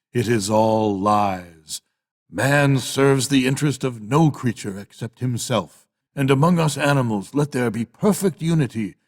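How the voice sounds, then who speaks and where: A solemn old boar played by an older man delivers rousing storybook oratory. His speech is emphatic and full of dramatic pauses, in a fairly high-set male voice. A long hall reverb rings around every phrase. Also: natural voice. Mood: rousing, defiant. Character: elderly boar, solemn revolutionary orator rousing farm animals. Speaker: audiobook reader.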